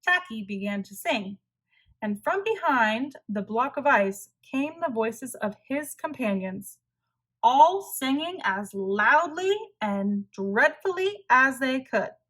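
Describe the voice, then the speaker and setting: A woman reading a children's story aloud as narrator, expressive and deliberate. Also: high-pitched, low-quality recording.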